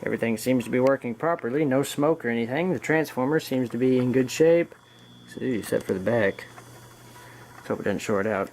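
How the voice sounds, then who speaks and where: A man's voice: medium pitch, brisk, a little mellow, low-quality recording. Casual man demonstrating, with humming background noise. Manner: informal, thinking aloud while working. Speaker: vlogger demonstrating an electronics restoration. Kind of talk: casual hands-on demonstration narration. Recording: background noise with mains hum.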